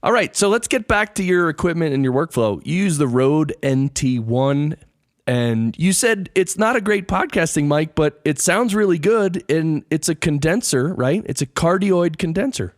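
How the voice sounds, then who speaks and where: A man's voice: fairly high pitch, animated, normal speed, dry recording, studio quality. A man hosting a podcast interview, talking casually and telling stories with emphasis. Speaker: podcast host.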